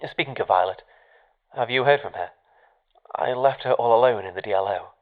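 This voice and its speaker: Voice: mid-range voice, a little fast. An actor playing a polite, evasive scientist with a hesitant, understated, formal manner over a radio channel in an audio drama. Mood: uneasy, polite. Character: evasive, polite, dryly calm scientist in charge of an experiment. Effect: radio channel filter. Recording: thin, phone-like radio channel.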